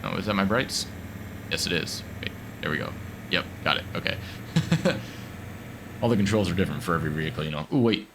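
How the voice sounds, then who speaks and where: Low-voiced rambling gamer man with a cold. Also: brisk, long pauses, noisy background. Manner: rambling, filler-heavy, chatty. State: getting over a cold. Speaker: gaming video host. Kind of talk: casual gameplay commentary.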